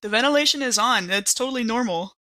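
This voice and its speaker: A woman's voice: on the high side, quick pace, sharp tone. A woman playing a firm, casual ship medic in a tabletop game, reassuring with some exasperation in slangy speech. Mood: firm, exasperated.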